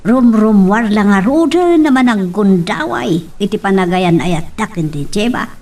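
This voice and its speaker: A woman's voice: mid-range voice, expressive, unhurried, darkish tone, distant mic, close to an everyday voice. A woman with an Ilocano accent playing a disapproving, worried middle-aged mother in an amateur audio drama. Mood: fretful, disapproving. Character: fretful, disapproving Ilocano mother worried about her son.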